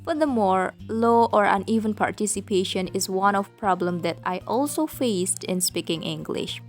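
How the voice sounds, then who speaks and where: A woman's voice: medium pitch, animated, moderate pace, soft background music. A young woman with an Indonesian accent, a student presenting in careful, rehearsed learner's English. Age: young adult. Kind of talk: prepared presentation.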